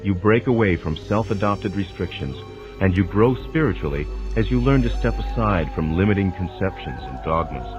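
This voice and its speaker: A man narrates a scripted, dramatized spiritual teaching in a formal, measured, didactic way. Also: deep voice, lively intonation, dull audio. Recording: voice over prominent music. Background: music.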